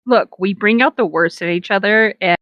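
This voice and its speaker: A woman's voice: mid-range voice, moderate pace, no room sound, highs cut off. A woman co-hosting a comedic reading, narrating a story aloud with casual, sardonic asides. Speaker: co-host of a comedic fan-fiction reading.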